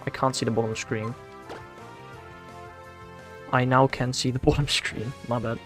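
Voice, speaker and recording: Young Dutch-accented male streamer, easygoing and slangy, mid-pitched voice, soft music underneath. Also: steady tone, a little slow. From Netherlands. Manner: laid-back and slangy, talks to himself. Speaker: game streamer. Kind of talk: casual livestream chat, answering chat while playing.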